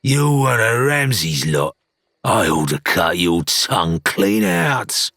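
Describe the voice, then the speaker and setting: A man voicing a sneering, suspicious pirate thug who rants in a rough, accusatory way, as in an audiobook. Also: sits low, lively intonation, takes it slowly, a bit bright, dry recording, studio quality, heavily acted. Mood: menacing. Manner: spitting, accusatory, rough-spoken. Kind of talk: audiobook character acting.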